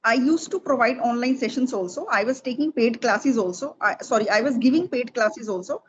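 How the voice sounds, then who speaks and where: A woman's voice: on the high side, a little fast. A middle-aged woman from Delhi with an Indian accent, chatting as a spoken English teacher being interviewed. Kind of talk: casual conversational interview in English. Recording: dull lo-fi sound with faint noise.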